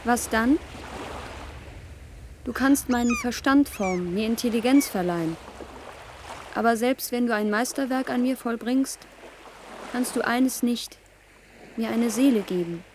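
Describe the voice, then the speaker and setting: Virtual doll-like girl, radio drama: measured, unhurried, mournful yet defiant, higher register, over noisy ambience. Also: a little mellow. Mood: quietly defiant. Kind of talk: German radio play acting.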